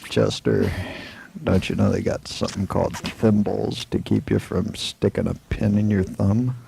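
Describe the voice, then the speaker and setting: Low dark gravelly western lawman, american, calm and laconic, radio drama. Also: normal speed. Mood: amused, easygoing. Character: calm, laconic, stoic Old West lawman. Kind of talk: old-time radio western acting. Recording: faint background noise on old recording.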